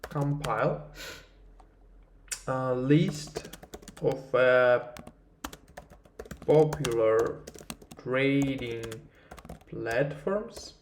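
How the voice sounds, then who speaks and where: A man with a Russian accent, a native Russian speaker, teaching a screen-recorded tutorial in English. He talks haltingly, with many fillers and restarts. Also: medium pitch, echoey room, a little hiss or hum. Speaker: marketing research instructor.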